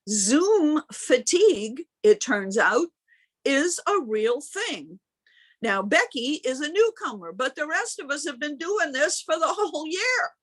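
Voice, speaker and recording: A woman minister giving a sermon in deliberate, emphatic phrases with pauses, speaking over a video call. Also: high voice, sing-song. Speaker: minister preaching.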